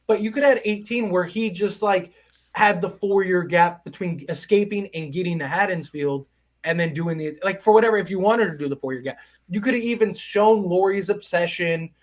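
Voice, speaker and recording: A man around thirty co-hosting a live horror movie stream, talking in long, animated, rambling stretches full of restarts. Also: high-pitched, brisk. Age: 30s. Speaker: co-host.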